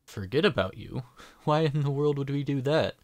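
Woman acting kind fantasy adventurer, very deep voice, gentle, reassuring, casual, dry close recording. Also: animated, moderate pace, no room sound. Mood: warm, reassuring. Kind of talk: fantasy audio drama acting.

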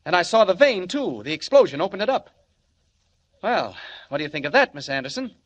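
A man's voice: sits high, very animated, fairly quick. A man acting a mild, eager newspaper reporter in a 1940s radio serial. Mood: excited. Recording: dull old 1940s radio recording.

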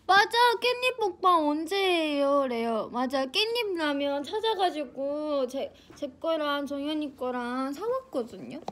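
A woman's voice: expressive, a little slow, few pauses, background noise. A young woman in her twenties chatting playfully on a phone livestream, teasing and answering comments. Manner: playful and teasing, bursts into high shouts and laughs. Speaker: idol livestream host. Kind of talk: casual livestream chat reading comments. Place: small bright indoor room, leaning on a table. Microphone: phone held close at arm's length.

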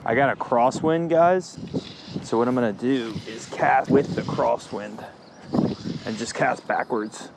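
A man's voice: mid-range voice, unhurried, creaky. A man hosting a fishing vlog outdoors, talking casually and informally to viewers with mild slang. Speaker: fishing video host. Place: outdoors by a pond. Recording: noisy outdoor ambience.

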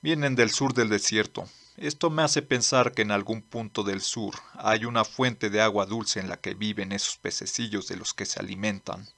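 A man playing a blunt, earnest old lawman in a manga dub, speaking formally and deliberately. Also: lowish voice, vocal fry, pushed and bright. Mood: earnest, firm. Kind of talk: dramatic dubbing.

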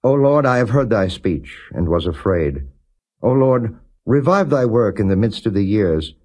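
A man giving a non-dramatized scripture reading as a narrator, in a measured, solemn and formal way. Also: on the low side, animated, darkish tone, husky. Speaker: Bible reader, audiobook narrator.

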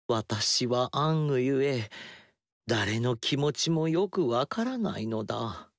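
A gentle, self-effacing young ruler. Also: male voice, medium pitch, animated, slow, dry recording, clean studio sound. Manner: soft-spoken, polite and old-fashioned. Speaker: game character. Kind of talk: game dialogue acting.

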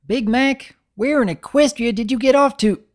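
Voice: mid-range voice, moderate pace, voice pushed up, close to an everyday voice. A narrator voicing a blunt, impatient young country mare from a cartoon. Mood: urgent.

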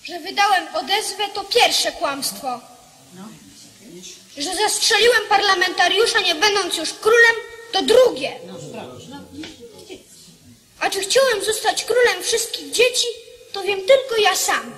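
Small boy king, proud, indignant, very high, ringing bright tone, radio play. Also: expressive, a little slow, long pauses, exaggerated voice. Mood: defiant. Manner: indignant, dignified, emphatic. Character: proud, defiant little boy king on trial. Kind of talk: Polish radio play acting.

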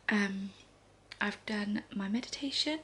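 A woman in her thirties chatting to camera in a soft, often half-whispered way on a living room sofa, a little congested. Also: mid-range voice, takes it slowly, husky. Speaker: vlogger. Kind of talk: casual morning chat to camera.

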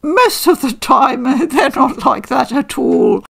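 An older woman hosting a casual unboxing review from her living room, chatty and measured with frequent pauses. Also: high voice, expressive, vocal fry, a bit bright, husky. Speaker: game reviewer host.

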